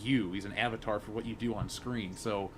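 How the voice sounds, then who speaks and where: A man's voice: lowish voice, steady tone, fairly quick. A man chatting casually as a member of a discussion panel. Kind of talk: casual chat. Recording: faint background noise.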